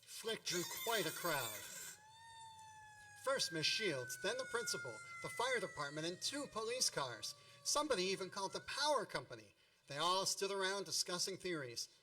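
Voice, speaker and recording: A man's voice: high-pitched, very animated, moderate pace, recorded from a distance, background noise. A man playing a grown-up storyteller who recalls his boyhood in wry, mock-grandiose radio play narration.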